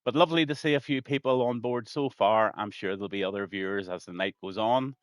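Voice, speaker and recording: Chatty Scouse sim racing commentator. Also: male voice, fairly low pitch, brisk, no room sound. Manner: chatty, greets viewers by name. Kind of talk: live stream race commentary.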